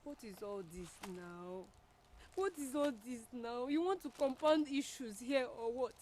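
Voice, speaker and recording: An actress playing an exasperated, forceful woman demanding answers in a film drama, with a Nigerian accent. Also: high voice, measured pace, a little hiss or hum. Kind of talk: Nollywood film drama acting.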